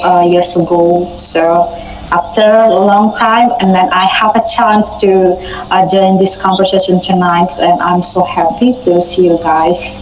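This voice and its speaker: A woman, speaking politely and casually in hesitant learner's English. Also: medium pitch. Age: 30s. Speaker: teacher, meeting participant. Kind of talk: casual self-introduction. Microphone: video call. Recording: noisy online call audio.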